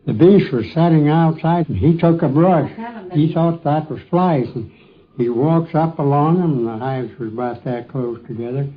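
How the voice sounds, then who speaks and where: A man's voice: on the high side, normal speed. An older man, reminiscing in a folksy, plain-spoken way on a 1950s recording. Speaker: interviewee recalling boyhood. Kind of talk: casual reminiscing. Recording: thin phone-like 1950s radio recording.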